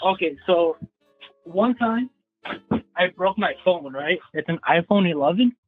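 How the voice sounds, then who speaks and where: Caller, young man in his twenties, higher-pitched, chatty and rambling, heard through a thin phone line. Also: lively intonation, measured pace, faint music behind. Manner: rambling, hedging with "like". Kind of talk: casual phone call.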